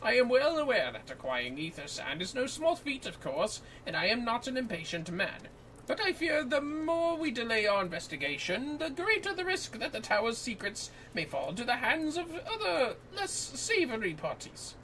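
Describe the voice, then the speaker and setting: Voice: medium pitch, very animated, moderate pace, fairly bright tone, a little hiss or hum, voice pushed up, poor audio. Streamer putting on a theatrical, courteous scholarly man, swooping intonation. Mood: formal, concerned. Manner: theatrical, archaic formal diction. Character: courteous, formal scholarly man, an earnest quest giver. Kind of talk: video game dialogue read aloud in character.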